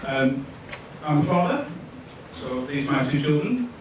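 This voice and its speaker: A man in his thirties with a Lancashire accent, giving a relaxed, chatty tech talk to an audience, pausing often with ums. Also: fairly high pitch. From Lancashire, England. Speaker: conference speaker. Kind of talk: tech meetup presentation. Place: event room with audience. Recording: echoey room, distant mic, noisy.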